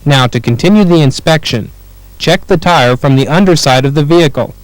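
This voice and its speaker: A man with an American accent reading scripted instructional narration for a training film in a clear, measured way. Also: on the high side, no room sound, low-quality recording.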